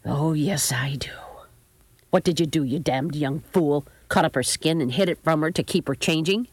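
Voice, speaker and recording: A woman's voice: sits low, brisk, breathy edge, dry recording. A woman voicing a stern old country grandmother, grimly exasperated, who mutters and scolds.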